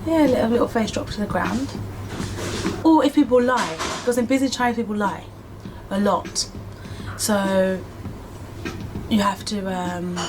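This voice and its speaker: Chatty young British woman. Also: mid-range voice. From Britain. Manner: chatty, animated, acts out quoted voices. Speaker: business owner and English teacher. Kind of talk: casual sit-down interview.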